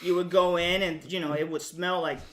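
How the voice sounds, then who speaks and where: A man from Puerto Rico co-hosting a podcast in casual conversation, with measured, deliberate phrasing. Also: sits high, level tone, fairly bright tone. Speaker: podcast co-host. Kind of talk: casual scripted-topic chat.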